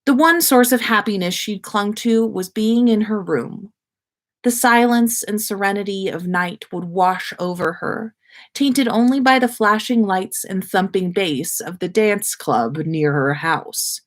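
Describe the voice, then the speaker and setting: A woman narrating a written story aloud in an expressive, measured storybook manner. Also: medium pitch. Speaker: podcast host reading listener fan fiction.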